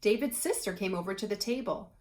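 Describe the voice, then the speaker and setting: A woman's voice: medium pitch, animated, a little fast, darkish tone, a little hiss or hum. A woman reading aloud and explaining things to children in a conversational way, like a teacher. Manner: conversational, asks the listener questions while reading.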